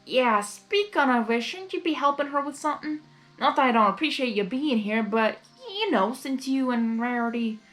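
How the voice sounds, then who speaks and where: A woman's voice: high-pitched, animated, normal speed, a bit bright, faint music behind, voice pushed up. A woman voicing a kindly, plain-spoken cowgirl pony with a folksy country drawl, in a storybook reading. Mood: friendly, wry.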